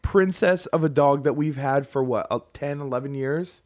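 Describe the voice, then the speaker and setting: A man's voice: medium pitch, normal speed, a little mellow. A man co-hosting a podcast, teasing his partner in casual, playful chat and exaggerating for laughs. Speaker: podcast co-host. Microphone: podcast microphone.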